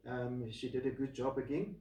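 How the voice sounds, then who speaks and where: A man hosting a casual audio equipment video, speaking to viewers in a halting, deliberate and measured way at home. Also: mid-range voice, warm tone. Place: room at home. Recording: roomy echo, distant microphone.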